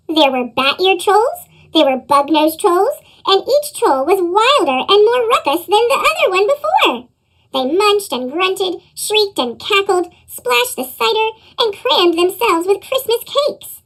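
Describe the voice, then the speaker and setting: A woman reading a children's story aloud through a high, sped-up chipmunk voice effect. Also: a bit bright. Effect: sped-up chipmunk pitch effect. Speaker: storybook reader. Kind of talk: children's read-aloud narration.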